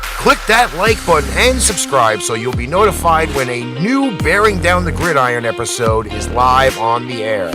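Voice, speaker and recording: A man delivers a scripted promotional outro with very varied intonation. Music plays clearly beneath his mid-pitched voice. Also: big pitch swings, normal speed. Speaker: announcer. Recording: music under the voice.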